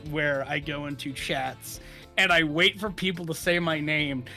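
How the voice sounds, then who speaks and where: A young man with a bright, sharp edge to his somewhat high voice chats casually as an interview guest. He giggles a lot and rambles through his stories with plenty of fillers. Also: unhurried, faint music behind. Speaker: VTuber guest.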